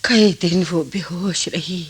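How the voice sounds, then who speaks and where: Grave woman recounting sorrow, very husky, lowish, slow, radio drama. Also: heavily acted. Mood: sorrowful, grave.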